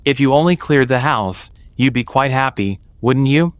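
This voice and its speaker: A flippant grown son played by a man in a dramatised audio story. He teases with playful mockery in a smooth, clear voice of medium pitch. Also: expressive, moderate pace, no echo, close to an everyday voice. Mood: teasing. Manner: playfully mocking.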